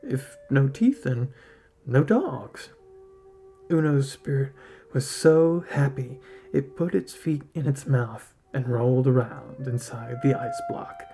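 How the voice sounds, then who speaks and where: A man narrating literary fiction as a podcast host, in a measured, dramatic reading manner. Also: mid-range voice, creaky, mellow.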